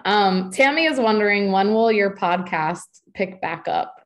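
A woman's voice: mid-range voice, animated, measured pace. A young woman in her twenties hosting a video-call webinar from her living room, gushing and upbeat with lots of filler words. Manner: bubbly, gushing, many likes and ums. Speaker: host. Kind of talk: enthusiastic host moderating a webinar. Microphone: webcam on a video call.

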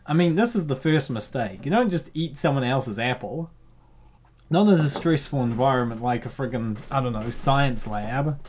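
Male host, casual and jokey, lively pitch, slangy off-the-cuff commentary on a comic. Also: moderate pace, a little hiss or hum.

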